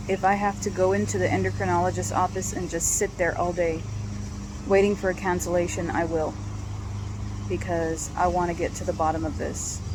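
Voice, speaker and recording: A hoarse woman talking casually in a personal vlog, halting often with ums and restarts. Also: on the low side, background noise.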